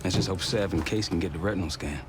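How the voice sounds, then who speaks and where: An actor playing a businesslike covert operative, clipped and matter-of-fact. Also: male voice, low voice, quick pace, background noise, close to an everyday voice. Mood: focused. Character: male covert operative, businesslike team planner. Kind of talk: video game cutscene acting with briefing narration.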